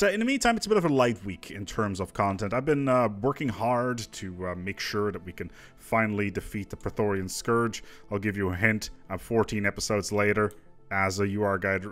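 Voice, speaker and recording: A man's voice: fairly low pitch, fairly quick, fairly bright tone, faint music behind. A man presenting casual gaming news commentary in an informal, conversational, musing manner.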